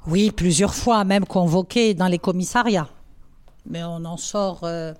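A woman in her sixties from Algeria, answering schoolchildren's questions in a classroom, thoughtful and halting with many pauses. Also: lowish voice, a little mellow, no room sound. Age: 60s. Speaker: women's rights activist answering pupils' questions.

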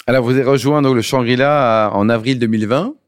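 A male interview host who asks questions and throws in quick jokes. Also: medium pitch, no echo, clean studio sound. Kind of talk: radio-style interview.

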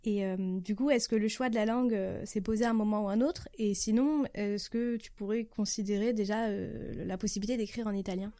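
A woman's voice: medium pitch, steady tone, a little fast, few pauses, mellow, no room sound. A woman interviewer asking questions in a casual, conversational way. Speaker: podcast interviewer.

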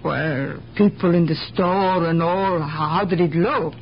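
A woman's voice: lowish voice, slow pace. World-weary female neighbor, guarded, broken grammar, vintage radio drama, lo-fi, faint noise. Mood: reluctant. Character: wary, world-weary immigrant woman neighbor. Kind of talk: 1970s radio mystery acting. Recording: dull, noisy 1970s radio broadcast recording.

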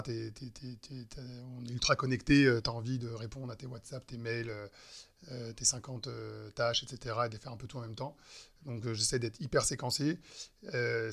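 A man's voice: mid-range voice, fairly bright tone. A man in his thirties from France, an entrepreneur guest talking through his habits in a casual podcast interview, with many fillers and restarts. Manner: hesitant, full of fillers and restarts.

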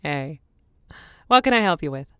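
A woman's voice: sits low, big pitch swings, normal speed, clean tone, dry recording, clean studio sound. A woman playing a wry, teasing radio advice host in an improvised audio drama, talking conversationally. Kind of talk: semi-improvised audio drama, call-in talk.

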